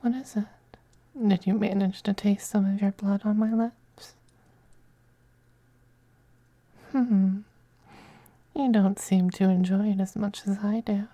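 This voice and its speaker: Possessive femboy vampire noble played by an actor, ASMR intimacy: very mellow, slow, breathy whispers, tender yet domineering. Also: mid-range voice, fairly even intonation, no echo, natural voice. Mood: hungry, indulgent. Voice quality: breathy, soft, often whispering.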